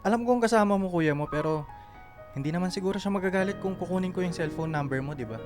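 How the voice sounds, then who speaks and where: Actor as high-voiced awkward Filipino flirt. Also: male voice, fast, over music, close to an everyday voice. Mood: flirty. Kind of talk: Filipino radio drama acting.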